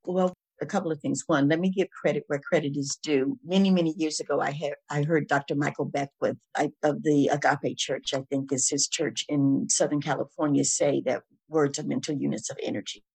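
A woman's voice: deep voice, steady tone, brisk, vocal fry, hardly any pauses, darkish tone, slightly rough, low-quality recording. Emphatic woman speaking reflectively as a guest. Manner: emphatic, reflective, uses repetition and rhetorical questions. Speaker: interview guest. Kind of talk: conversational spiritual and motivational talk.

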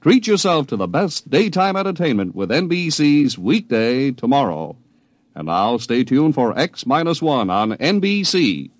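A man's voice: mid-range voice, big pitch swings, a little slow, dry recording. An American man reading a 1950s network radio promo as a polished announcer.

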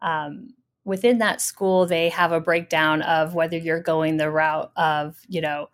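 A woman's voice: low-pitched, fairly even intonation, a little slow. A woman in her thirties, a professor answering interview questions thoughtfully, with many fillers.

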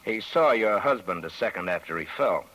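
An actor playing a measured, matter-of-fact police sergeant, suspicious and unmoved, in an old-time radio drama. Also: male voice, fairly low pitch, expressive, a bit bright, low-quality recording. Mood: dry, suspicious. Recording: vintage radio drama recording.

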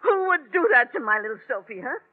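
A woman playing a batty, chatty, excitable old landlady with a folksy, repetitive manner in an old radio drama. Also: high-pitched, a little fast. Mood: tearful, demanding. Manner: folksy, repetitive, chuckling, calls men sonny. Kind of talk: old-time radio acting. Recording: tinny, band-limited vintage radio recording.

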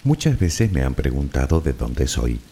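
A man from the Canary Islands with a Canarian Spanish accent, narrating a guided sleep relaxation in a soft, unhurried, soothing way. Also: a bass voice, big pitch swings, a little hiss or hum.

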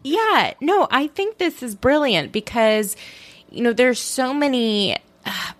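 A woman hosting an interview, lively, thinking aloud and quick to chime in. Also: sits high, no echo. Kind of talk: casual interview chat.

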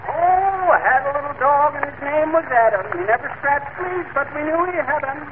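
High-pitched blustery radio husband. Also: male voice, normal speed. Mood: carefree singing. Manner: folksy, blustery. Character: folksy, grumbling middle-aged husband, comic and blustery. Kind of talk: 1940s radio comedy acting. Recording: old radio broadcast, narrow band, clear noise.

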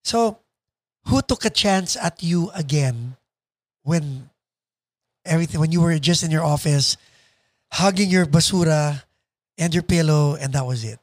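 A Filipino-accented man hosts a podcast in a fairly high voice. He interviews casually and attentively, dropping in short affirmations. The recording is dry and free of room echo. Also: measured pace, pauses often. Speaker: podcast host.

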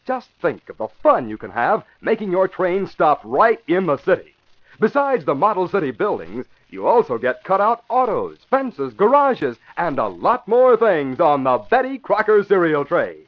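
High-voiced, animated American radio announcer. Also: male voice, moderate pace. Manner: polished, emphatic salesmanship. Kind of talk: 1950s radio announcing, scripted narration and advertising. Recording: dull vintage radio broadcast.

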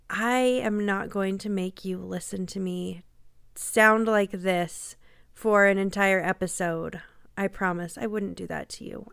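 A woman's voice: mid-range voice, creaky, smooth, no echo, studio quality. A woman hosting a podcast, sounding congested from a cold, talking in a chatty, apologetic way. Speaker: podcast host. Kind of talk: casual podcast chat.

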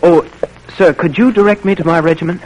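A polite young private from a vintage radio drama. His voice sits fairly high and is husky, with an easygoing, folksy way of talking. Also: fairly even intonation, fairly quick, a little mellow, rough recording. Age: young adult. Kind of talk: old-time radio drama acting.

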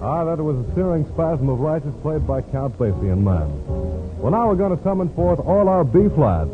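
A man's voice: on the high side, very animated, moderate pace. An American man hosting a 1940s radio music show with showy, florid hepcat patter. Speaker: radio show host, emcee. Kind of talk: 1940s jive radio emceeing. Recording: tinny vintage radio recording, music behind.

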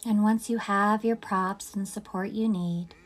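A woman leading a guided yoga nidra meditation in a gentle, soothing, unhurried manner with long pauses. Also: mid-range voice, fairly even intonation, slight background noise.

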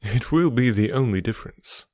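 A man voicing an earnest gentleman who gently lectures, in a lower, measured tone for audiobook dialogue. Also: expressive, dry recording, studio quality, close to an everyday voice.

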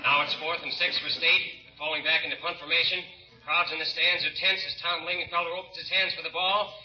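An actor playing an urgent, excited football announcer calling play-by-play on 1940s radio. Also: male voice, fairly high pitch, a little fast, natural voice. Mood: tense. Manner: urgent, punchy. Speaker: sports announcer. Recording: distant echoey muffled radio broadcast.

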